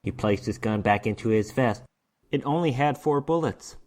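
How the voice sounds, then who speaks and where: A man reads horror fiction aloud as a narrator. His voice sits at a medium pitch. He delivers the prose theatrically, leaning on words for dramatic emphasis. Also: normal speed, a little mellow. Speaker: narrator reading a short story.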